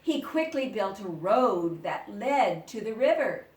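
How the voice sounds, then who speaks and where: A woman's voice: mid-range voice, very animated, unhurried. A woman reads a children's story aloud in a roomy, echoing space, a little away from the mic. She takes her time and performs it dramatically, stopping to explain words and ask the young listeners questions. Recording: roomy echo, distant microphone.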